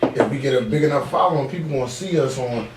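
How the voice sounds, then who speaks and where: A man's voice: mid-range voice, quick pace. Man chatting on an echoey podcast. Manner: animated, slangy, profane. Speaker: podcast host. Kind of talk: casual podcast chat. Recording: roomy, echoey, distant mic, background noise.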